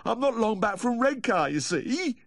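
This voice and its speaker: A man's voice: very high-pitched, very animated, moderate pace, fairly bright tone, no room sound, clean studio sound, voice pushed up, full character voice. A man voicing a maudlin, panicky, drunken Yorkshire dog owner in a storybook, with a tearful wail.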